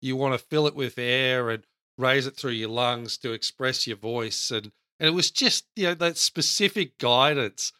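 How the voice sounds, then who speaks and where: Bright-voiced man, podcast host. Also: moderate pace, smooth, dry recording, studio quality. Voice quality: baritone. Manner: animated storyteller, acts out quotes. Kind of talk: casual podcast chat.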